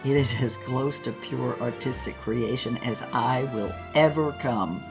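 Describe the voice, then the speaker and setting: Deep-voiced, theatrical old film star. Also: female voice, a little slow, gravelly, over music, full character voice. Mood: passionate. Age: older. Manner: dramatic, savoring, swooping emphasis. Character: passionate, theatrical former movie star grandmother, reminiscing. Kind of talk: scripted audio-drama memoir.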